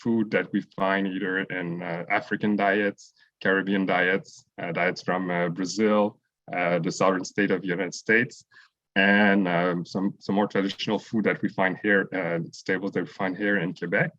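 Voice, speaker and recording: Very deep-voiced man, halting. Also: flat delivery, fairly bright tone, no echo. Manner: halting, with many fillers. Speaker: panelist. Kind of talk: panel talk. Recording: dull, dry sound.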